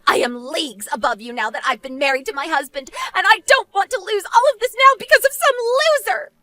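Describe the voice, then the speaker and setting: A woman's voice: high voice, expressive, brisk, bright, forceful tone, no echo. A woman playing a spoiled, arrogant banker's wife in melodramatic scripted story dialogue, desperate and whiny. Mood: haughty. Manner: whiny, haughty, pleading.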